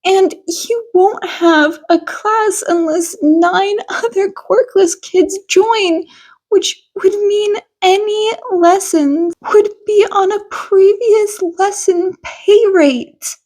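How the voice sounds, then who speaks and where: A woman voicing an anxious, gentle mother of a young boy in an audiobook story. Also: very high voice, takes it slowly, voice pushed up. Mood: worried. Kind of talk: audiobook character voice.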